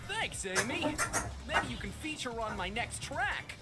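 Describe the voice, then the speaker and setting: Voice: medium pitch, very animated, a little slow, pushed and bright, faint music behind. A performer voicing an earnest, goofy young male hedgehog hero in a comedic game fandub. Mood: defensive.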